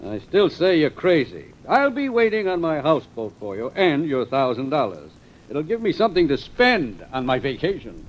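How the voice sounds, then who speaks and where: A man's voice: on the high side, normal speed. An actor playing a skeptical, exasperated male houseboat owner in 1940s radio mystery style, with a disbelieving manner. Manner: animated, disbelieving. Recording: muffled old radio recording.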